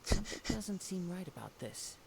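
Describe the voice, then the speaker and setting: A man voicing a serene, poetic young wandering swordsman in a video game, speaking in a measured, formal, gentle manner. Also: sits high, sing-song, creaky, very noisy, full character voice. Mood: composed, resolute.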